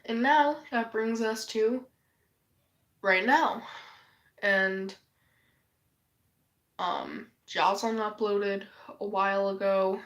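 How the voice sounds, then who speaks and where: A woman's voice: mid-range voice, takes it slowly, pauses often. A woman who is losing her voice from a cold, rambling in a casual vlog, sighing often and swearing offhandedly. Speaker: vlogger.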